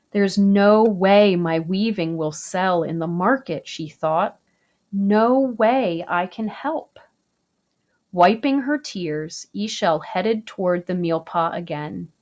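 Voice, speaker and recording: A woman reading a children's picture book aloud, slow, gentle and expressive. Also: lowish voice, lo-fi sound. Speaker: storybook reader.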